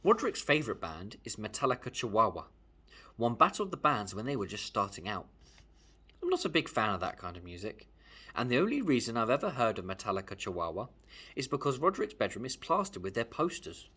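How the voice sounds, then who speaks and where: Animated male storyteller with a husky edge. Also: mid-range voice, brisk. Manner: animated, emphatic. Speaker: storyteller reading a children's book aloud. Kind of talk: expressive read-aloud narration, first person.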